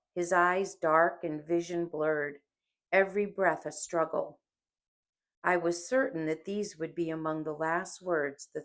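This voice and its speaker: A woman reading an audiobook as dramatic scripted narration, solemn and deliberate. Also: low voice, gravelly. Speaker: audiobook reader.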